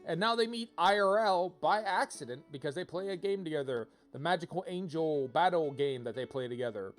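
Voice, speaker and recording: Guy streaming games, kinda high voice, rambles and restarts sentences, chatty and loose, bit of music in the background. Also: brisk. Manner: rambling, self-correcting, thinks out loud. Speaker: video game livestreamer, host. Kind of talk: casual livestream commentary to viewers.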